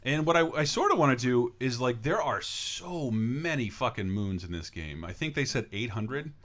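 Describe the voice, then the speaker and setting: Animated man hosting a game livestream. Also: medium pitch, normal speed, a little hiss or hum. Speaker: gaming livestream host. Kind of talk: casual game livestream commentary.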